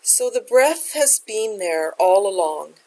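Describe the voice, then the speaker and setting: A woman guides a meditation in a mid-range voice. Her delivery is gentle and measured, like a teacher giving calm, step-by-step instruction. She takes her time with each phrase. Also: medium pitch, poor audio.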